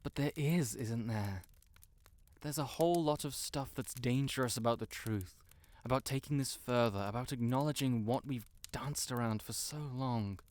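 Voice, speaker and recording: A man playing a proud, flustered young wizard in an intimate fantasy audio roleplay, halting and defensive yet earnest. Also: medium pitch, a little mellow, natural voice. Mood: pleading, uneasy. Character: proud, stuck-up young male wizard, flustered tsundere best friend in love.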